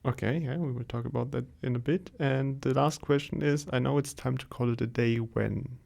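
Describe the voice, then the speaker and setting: Man with a gravelly voice asking questions. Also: mid-range voice, fairly even intonation, moderate pace, a little mellow. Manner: brief prompts and questions. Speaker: podcast interviewer. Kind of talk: interview questions.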